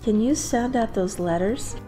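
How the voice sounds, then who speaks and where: A woman playing an affectionate, practical young mother in a radio play, reassuring and gently firm. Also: a little high, measured pace, close to an everyday voice. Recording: music behind the voice.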